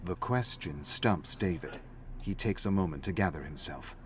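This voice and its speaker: A man's voice: deep voice, animated, moderate pace, a little hiss or hum. A man reading a story aloud as a scripted, dramatic narrator. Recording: music playing behind the voice. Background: faint background music.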